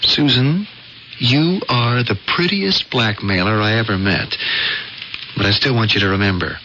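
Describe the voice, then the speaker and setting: A man's voice: fairly low pitch, unhurried, bright, forceful tone, a little hiss or hum. An actor playing an earnest, flustered young photographer in a radio drama, stammering and hesitant as he talks. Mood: pleading, resigned. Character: earnest young newspaper photographer, loyal friend, flustered suitor. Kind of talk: 1970s radio drama acting. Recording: dull old radio broadcast, music behind.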